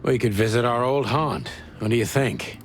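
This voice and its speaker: A man's voice: medium pitch, normal speed, slight background noise, natural voice. An actor playing a world-weary male rooster detective in a video game, with a dry, sardonic way of talking. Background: game music.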